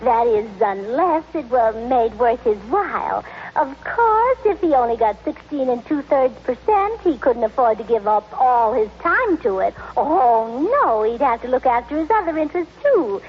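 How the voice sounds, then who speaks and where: High-voiced, gushing society hostess. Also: female voice, lively intonation, normal speed, slightly rough. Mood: sweetly scheming. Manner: effusive, chattering, flighty. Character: gushing, scatterbrained but scheming society hostess wife. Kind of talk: 1930s-1940s radio comedy acting. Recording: muffled noisy old radio recording.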